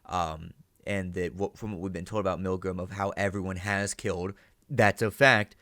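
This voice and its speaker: Man, low register, casual commentary host who reasons out loud, very dry recording. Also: normal speed, no echo. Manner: animated, thinking aloud with rhetorical check-ins. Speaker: reaction and analysis host.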